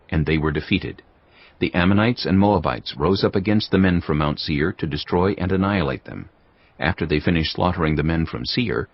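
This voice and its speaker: Deep expressive male narrator. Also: husky. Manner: measured, expressive storytelling. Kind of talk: scripted scripture narration. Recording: slightly muffled recording with faint music.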